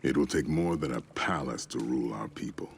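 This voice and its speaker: Grim warrior man, extremely deep, gravelly, slow, hardened, video game drama. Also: slight background noise.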